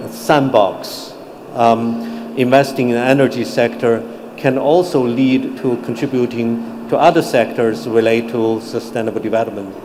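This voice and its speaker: A man of middle pitch moderates a conference panel. He asks his questions politely and hesitates here and there. A faint murmur of ambience sits behind him. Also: a little mellow, a little hiss or hum.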